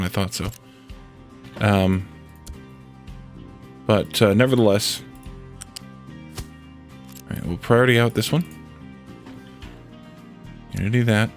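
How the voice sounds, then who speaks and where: A man with a congested head cold, casually thinking aloud as he streams a building game. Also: on the low side. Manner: thinking aloud, muttering to himself while building. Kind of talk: casual let's-play commentary.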